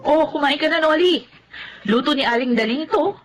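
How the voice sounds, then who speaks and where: A woman's voice: high voice, lively intonation, a little fast, over music. An actress playing a blunt young woman who chides her partner, in a Filipino radio drama. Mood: chiding.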